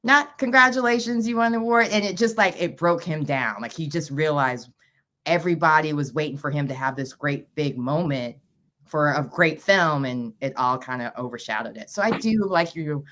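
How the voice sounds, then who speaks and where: A woman's voice: low voice, moderate pace, fairly bright tone. A woman hosting a talk show, chatting casually with self-deprecating asides.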